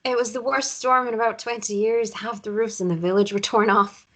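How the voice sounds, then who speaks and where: A woman's voice: a little high, fairly even intonation, brisk, fairly bright tone. An actress playing a hurt, defiant woman with an intense, emotional manner in a drama table read. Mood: gentle. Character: hurt, defiant mother standing up to her ex.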